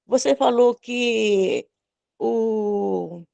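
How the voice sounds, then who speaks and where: A woman, a participant asking a hesitant, halting question in a Bible study. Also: on the high side, vocal fry, mellow, no echo.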